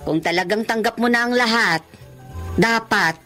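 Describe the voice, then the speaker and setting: A woman's voice: on the high side, normal speed, music in the background, natural voice. An actress with a Filipino accent playing a doting, coaxing older mother in a radio drama. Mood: concerned, coaxing. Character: doting, nagging older mother, expressive and concerned. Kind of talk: Tagalog radio drama acting.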